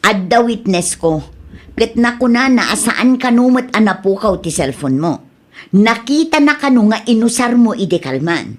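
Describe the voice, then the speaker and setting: High-voiced, insistent Ilocano wife. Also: female voice, lively intonation, moderate pace. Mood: accusing. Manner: questioning, pressing. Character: worried, insistent wife who confronts her evasive friend. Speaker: radio drama actor. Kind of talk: Ilocano comedy radio drama acting.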